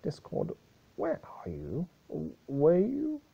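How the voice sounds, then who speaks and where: A man's voice: a little high, wildly swooping pitch, slow, gravelly, breathy edge, lo-fi sound, pitched above the performer's own voice, heavily acted. A man voicing a grieving, furious female pony ruler in a solemn, accusing speech-like manner. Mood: upset.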